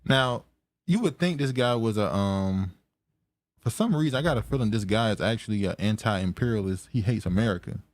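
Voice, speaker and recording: Brief: low male podcast host, halting and wry, dry close mic. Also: no echo. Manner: musing, halting, laughs often. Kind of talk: casual chat.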